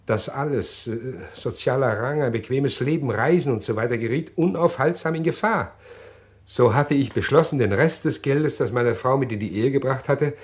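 An actor playing an evasive, well-spoken gentleman criminal in a German radio crime play, speaking in a formal, hesitant, self-justifying manner. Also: mid-range voice, normal speed, lightly acted. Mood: troubled, confessing.

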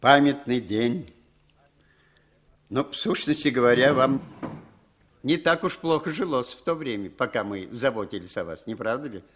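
An actor as a smooth, swooping, insinuating spy handler. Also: male voice, medium pitch, lively intonation, moderate pace, long pauses, fairly bright tone, natural voice. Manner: ingratiating, insistent, tags questions with prodding appeals. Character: smooth, wheedling Western intelligence handler, condescending and insinuating. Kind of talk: 1960s Soviet radio play acting.

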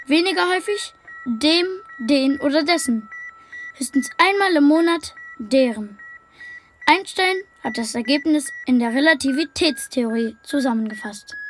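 A woman's voice: high-pitched, slight background noise. A woman narrating a radio drama in a dry, didactic way.

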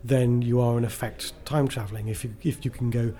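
A man with a dark, mellow voice at an average pitch talks as an interviewed writer. He is casual and enthusiastic, interrupting himself as he rambles along. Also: steady tone, brisk.

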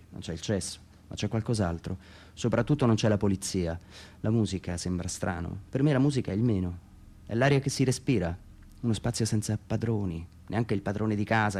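Deep creaky guy reading his own radio theatre monologue, inward and halting, pauses a lot. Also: a little mellow. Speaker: writer reading own text.

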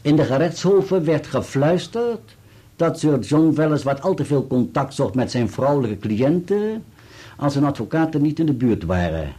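An actor playing a precise, formal solicitor in a radio play, with an articulate delivery. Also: male voice, fairly high pitch, big pitch swings, moderate pace, dark tone, husky. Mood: declamatory, precise. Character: dutiful male solicitor reading an article aloud, precise and formal.

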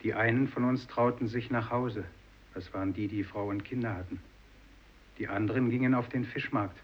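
Husky, low-voiced fisherman. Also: fairly even intonation, normal speed. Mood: sombre. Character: weary fisherman recounting the crew's fate. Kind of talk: radio drama narration. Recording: dull radio drama recording.

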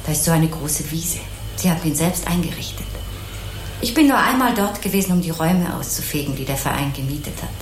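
Radio-play housewife: lowish female voice, artless and obliging, rambling kindly, music behind her in a reverberant room. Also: lively intonation, fairly quick. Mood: chatty, helpful. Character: chatty, naive, kindly housewife, dog trainer's wife. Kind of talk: 1980s German crime radio play dialogue.

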